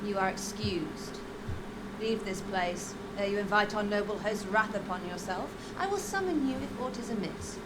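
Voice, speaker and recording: A woman's voice: mid-range voice, moderate pace, natural voice. An actress playing a sly, poised lady of a pleasure house in a game cutscene, with archaic, haughty and teasing phrasing. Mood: haughty, stern. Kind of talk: video game cutscene acting. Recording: heavy steady noise behind.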